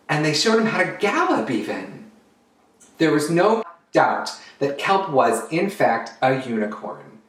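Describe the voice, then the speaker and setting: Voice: medium pitch, far from the mic. A drag queen host reading a children's picture book aloud in a theatrical way, drawing out words for young listeners. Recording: roomy, echoey, away from the mic.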